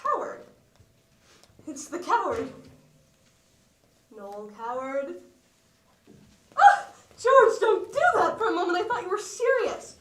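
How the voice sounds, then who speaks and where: A distant, echoey theatre recording. A young woman plays a gushing stage actress, dramatic and exaggerated, in a high voice that swings widely in pitch. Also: sing-song, slow, pauses often, a little hiss or hum, exaggerated voice. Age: teens. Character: theatrical, gushing actress backstage before a show. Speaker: student actor. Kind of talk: stage play acting.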